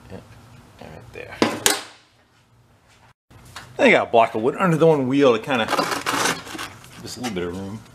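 A man's voice: mid-range voice, moderate pace, long pauses, heavy background noise. A man hosting a small engine repair video, chatting casually as he works at his bench and sometimes turning away from the mic. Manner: relaxed, chatty, talks while working. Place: workshop with a bench and wire wheel.

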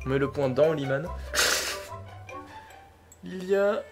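A young woman co-hosting a gaming talk show live stream, teasing and animated as she runs a guessing game. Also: low-pitched, music in the background. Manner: teasing, animated, quick laughs. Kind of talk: playful livestream quiz chat.

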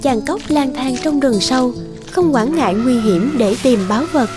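Lady narrator telling a fairy tale, high pitched, music behind her. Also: normal speed. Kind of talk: scripted storytelling narration.

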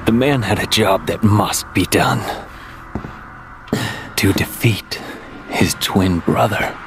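A man voicing a brooding, poetic, mysterious young man with a grave, measured manner in a video game. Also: on the low side, noisy background. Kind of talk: video game cinematic acting and narration. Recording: music behind the voice.

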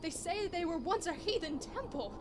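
A woman's voice: very high voice, expressive, moderate pace, noisy background. A woman playing a fearful, superstitious horseman in a radio drama, anxious and dramatic. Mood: terrified. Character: fearful, superstitious horseman begging to turn back. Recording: loud ambient sound effects behind.

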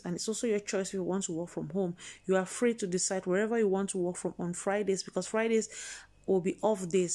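Woman, news vlog host; chatty, exclaiming delivery, middle pitch. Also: dark tone. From Cameroon. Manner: chatty, exclaiming, repeats points to the viewers.

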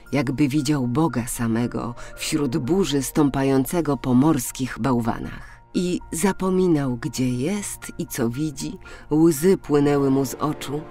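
A storyteller giving an expressive, dramatic scripted narration of a children's audiobook. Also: medium pitch. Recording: music under the voice. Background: music.

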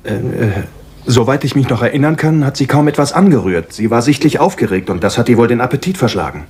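Earnest, animated gentleman sidekick. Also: mid-range voice, fast talker. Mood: puzzled, helpful. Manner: polite, eager, earnest. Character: earnest English gentleman, the detective's loyal, slightly baffled friend. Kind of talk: German detective radio drama acting. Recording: clear background noise and ambience.

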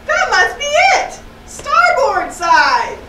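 An eager little puppet sailor, voiced by a woman, speaks emphatically at a very high pitch. The delivery is unhurried and swoops up and down. It sounds like a children's show in a roomy space. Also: huge pitch swings, bright, forceful tone, full character voice. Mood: alarmed. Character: eager, excitable young puppet sailor creature. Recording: roomy echo, slightly distant mic, faint noise.

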